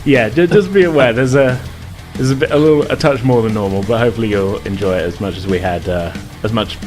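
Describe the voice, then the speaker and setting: A man's voice: fairly low pitch, quick pace, a little mellow, faint music behind. A British man chatting casually as a podcast host. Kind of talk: casual chat.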